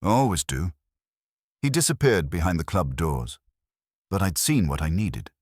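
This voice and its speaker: Very deep man narrating detective fiction. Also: big pitch swings, normal speed, slightly rough, dry recording, clean studio sound. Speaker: audiobook narrator. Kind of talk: scripted first-person detective story narration.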